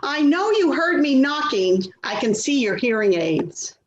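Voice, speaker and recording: A woman's voice: high-pitched, sing-song, a little slow. A woman playing an exasperated, worrying adult daughter in a readers theater play, nagging and put-upon, recorded in an echoey room. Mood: exasperated, scolding. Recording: echoey room, dull sound, faint noise.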